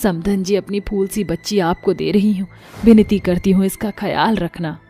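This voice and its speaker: A woman's voice: mid-range voice, steady tone, normal speed, mellow, breathy edge. A woman playing a poor, humble widowed mother who pleads emotionally in a storybook drama. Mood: humble pleading. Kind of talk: audio storybook drama acting. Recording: music under the voice.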